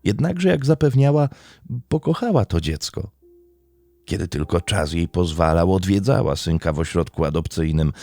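Deep, gravelly male true-crime narrator. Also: warm tone, dry recording. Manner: measured, serious storytelling. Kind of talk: scripted documentary narration.

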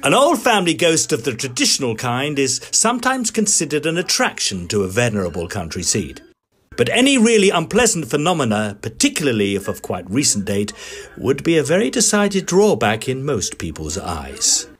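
An actor playing an inquisitive Edwardian gentleman ghost hunter, narrating and speaking in a formal British accent. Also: mid-range voice, huge pitch swings, moderate pace, a little hiss or hum. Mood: measured, inquiring. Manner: genteel, formal. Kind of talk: dramatised storytelling.

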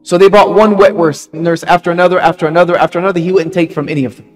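High-voiced man preaching an animated sermon. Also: racing, faint music behind. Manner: animated, repeats phrases for emphasis, asks the audience questions. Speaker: preacher giving a Friday sermon. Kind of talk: sermon with storytelling.

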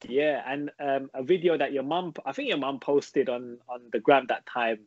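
A man's voice: medium pitch, a little mellow, dry recording. A man, hosting a podcast interview over a video call from home, often restarting his sentences. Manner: halting, lots of restarts. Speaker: podcast host. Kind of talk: casual interview chat. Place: small room at home. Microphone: video call with earbuds. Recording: dry, muffled video call audio.

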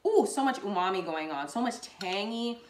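A woman's voice: mid-range voice, lively intonation, moderate pace. Bubbly woman cooking in an echoey kitchen. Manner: bubbly, chatty, calls viewers y'all. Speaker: cooking show host. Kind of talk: live cooking demo, casual chat. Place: home kitchen, indoors. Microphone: fixed camera at counter distance.

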